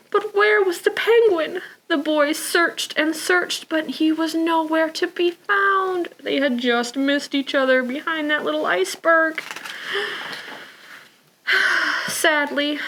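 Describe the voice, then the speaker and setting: A woman's voice: very high voice, poor audio. A woman reading picture books aloud for a preschool storytime, speaking expressively and simply, as if to young children. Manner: expressive, with sighs, gasps and exclamations; simple child-directed phrasing. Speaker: children's librarian storytime presenter.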